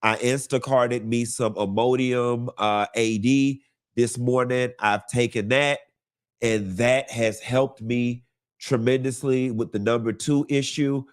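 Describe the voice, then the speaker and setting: A congested man hosting a live gossip show, talking in a sassy, campy drawl, calling listeners honey and baby and swooping up high for emphasis. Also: steady tone, slow pace. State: congested from a cold. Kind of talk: casual campy gossip monologue.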